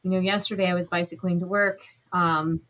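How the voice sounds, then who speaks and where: A woman's voice: on the low side, flat delivery, fairly quick, fairly bright tone. A woman hosting a podcast, talking casually with a guest and acting out little spoken asides. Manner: conversational, acts out little asides. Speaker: podcast host.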